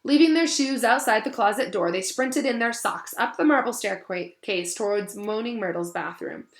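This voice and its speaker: A woman reading a storybook aloud as narrator, expressive, with casual asides to her listeners. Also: medium pitch, normal speed.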